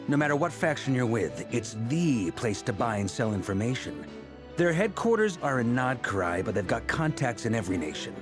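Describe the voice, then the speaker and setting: A man's voice: mid-range voice, very animated, normal speed, over music, natural voice. A man voicing a seasoned, middle-aged knight commander in a video game, self-assured and wry, with a commanding way of talking.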